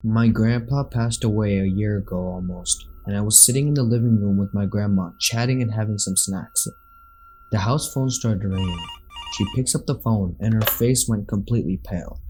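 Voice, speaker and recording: A man's voice: on the low side, normal speed, a little mellow, a little hiss or hum. A man reading scripted first-person accounts of scary true stories as a narrator.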